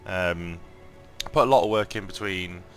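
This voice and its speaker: A man with a croaky morning voice giving casual gameplay commentary, thinking aloud and rambling with lots of ums and restarts. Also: low voice, normal speed, a bit bright, soft background music. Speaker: mobile game let's-play host.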